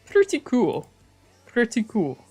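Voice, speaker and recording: A woman streamer playing a visual novel, mixing casual, slangy, expressive commentary with reading the game text aloud. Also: on the high side, slow, slightly rough. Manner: expressive, reactive, exclamatory, slangy. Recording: music playing behind the voice.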